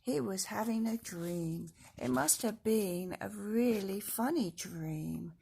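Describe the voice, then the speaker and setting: A woman reading a children's storybook aloud in an expressive way, speaking directly to young listeners. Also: medium pitch, slow pace, vocal fry, breathy edge. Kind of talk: storybook read-aloud with casual asides.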